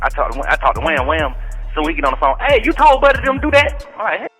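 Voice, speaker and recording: Male caller, sharp and very animated, casual talk on a rough jail-phone recording. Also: fairly high pitch, fast, faint music behind.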